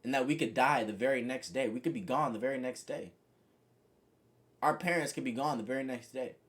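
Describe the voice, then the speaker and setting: A young man rambles through a laid-back monologue to his viewers, leaning on fillers like "you know" and "like". His voice sits at an ordinary middle pitch and stays fairly flat and monotone as he muses out loud. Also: a little fast. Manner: says he has a monotone voice. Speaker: vlogger. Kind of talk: casual reflective monologue to camera.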